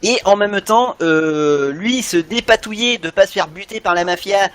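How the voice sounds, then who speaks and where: A man's voice: high-pitched, normal speed, a bit bright. A middle-aged man chatting casually and enthusiastically as a podcast co-host, often searching for his words. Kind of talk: casual animation fan chat.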